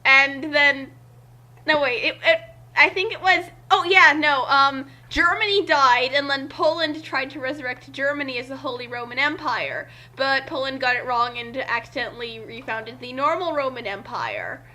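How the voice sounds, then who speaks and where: A woman casually reading fan fiction aloud and chatting, rambling excitably and stumbling over words. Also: high-pitched, moderate pace.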